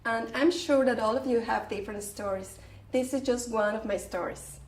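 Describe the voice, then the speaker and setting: A woman from Mexico tells a personal story in a chatty way, speaking directly to her listeners. Her voice is on the higher side. She sounds distant from the microphone, and the room adds a noticeable echo. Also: normal speed, a little mellow. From Mexico City. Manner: chatty, addressing the audience directly. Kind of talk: personal story told to a group. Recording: roomy, distant from the mic.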